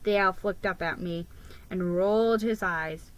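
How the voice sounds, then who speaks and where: A woman giving a dramatic, expressive reading of a children's story, stumbling over the odd word. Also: lowish voice, unhurried, no echo. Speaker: storybook reader.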